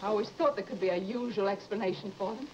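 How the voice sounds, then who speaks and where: An actress playing an anxious, suspicious, insistent wife in old-time broadcast drama, on a muffled, noisy old recording. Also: female voice, mid-range voice, fast, natural voice. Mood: anxious, probing.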